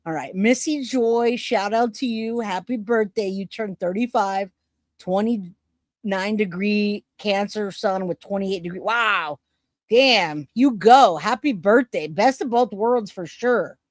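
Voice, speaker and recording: A woman in her forties chats to her livestream viewers in a casual, slangy style. She is animated and quick to joke, with a medium-pitched voice and a dry, close sound. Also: dry recording. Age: 40s. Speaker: livestream host reacting to news.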